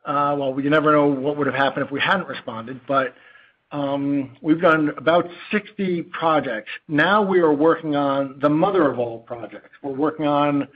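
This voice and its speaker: A man speaking as a company chief executive on an earnings call, unscripted and informal, with a halting, digressive delivery. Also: fairly high pitch. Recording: dull lo-fi conference call audio.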